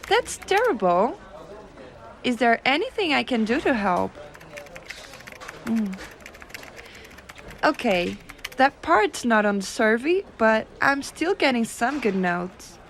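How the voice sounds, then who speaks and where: A woman playing a gentle, teasing giantess secretary in an ASMR roleplay, coaxing and cooing softly. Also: a little high, slight background noise, full character voice. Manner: soft coaxing, cooing, unhurried.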